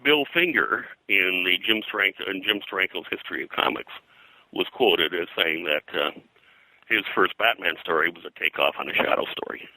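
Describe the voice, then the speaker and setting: An older man speaking as an interview guest, reminiscing in a halting, digressive way and often clearing his throat. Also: low voice, bright, forceful tone, no echo, rough recording. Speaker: guest, pulp and comics historian. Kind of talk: interview conversation, reminiscing about his work.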